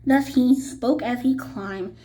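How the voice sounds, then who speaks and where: Slow, high-voiced, animated woman storyteller. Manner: animated, dramatic, deliberate. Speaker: children's audiobook reader. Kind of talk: expressive read-aloud storytelling.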